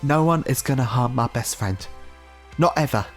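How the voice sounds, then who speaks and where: A narrator as a high-pitched, fiery loyal friend. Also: lively intonation, moderate pace, faint music behind, lightly acted. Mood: defiant. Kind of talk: dramatic audiobook character voice.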